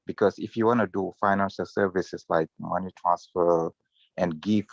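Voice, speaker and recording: A man from Senegal talks haltingly, full of "like" and fillers. His voice is very low and gravelly. The sound is dry and muffled, like a video call. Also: fairly even intonation, no room sound. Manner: halting, filler-heavy non-native English. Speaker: entrepreneur.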